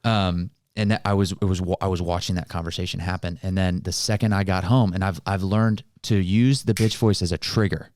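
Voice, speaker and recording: A man's voice: sits low, fairly even intonation, brisk, hardly any pauses, darkish tone, a little hiss or hum. A man co-hosting a motivational podcast, explaining things in an informal, colloquial way. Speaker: podcast co-host. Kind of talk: casual motivational podcast talk.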